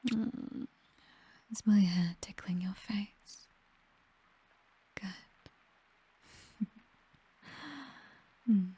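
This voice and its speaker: Casting: woman to play possessive vampire noblewoman, British accent, soft dark whisper, unhurried, tender yet commanding, for close-mic ASMR roleplay. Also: mid-range voice, steady tone, exaggerated voice, rough recording. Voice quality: breathy, whispery. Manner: slow, tender, teasing, possessive. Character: possessive, doting vampire noblewoman, commanding mistress to her butler. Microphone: close microphone, dry.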